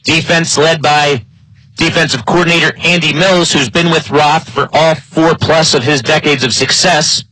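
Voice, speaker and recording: An American man doing live football play-by-play. His voice is bright and forceful, set a little high, and recorded close and dry. He speaks as a game announcer keeping listeners on top of the action. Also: steady tone, moderate pace, dry recording, rough recording. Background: live high school football game broadcast.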